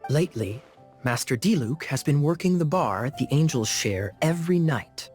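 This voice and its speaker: A man's voice: on the high side, expressive, normal speed, darkish tone, soft background music, close to an everyday voice. A man voicing a charming, teasing young knight captain with a playful, knowing manner, in video game acting. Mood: sly.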